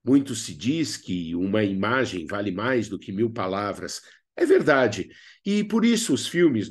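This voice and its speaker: A man with a Brazilian accent giving scripted instructional narration as a drama teacher, theatrical and expressive. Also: mid-range voice.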